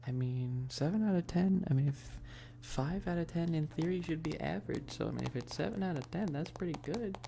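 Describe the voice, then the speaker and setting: A livestream host chatting casually and informally in a soft, close whispery ASMR delivery into a binaural mic, rambling with teasing asides to chat. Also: medium pitch, a little fast, gravelly, few pauses, slight background noise. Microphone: close binaural microphone. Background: tapping and keyboard clicking.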